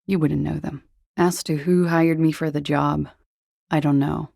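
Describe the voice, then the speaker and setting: An actress playing a weary, guarded young female corporate spy in a video game, with a smoky, deadpan, confessional delivery. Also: sits low, measured pace, creaky, dry recording, studio quality, exaggerated voice. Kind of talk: video game character dialogue.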